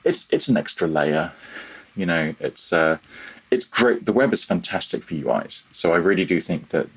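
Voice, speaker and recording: A man with a low, slightly rough voice talks casually as an interview guest. He thinks aloud as he goes, starting and restarting, and tends to play himself down. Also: animated. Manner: halting, self-deprecating, thinking aloud. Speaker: interview guest, freelance audio developer.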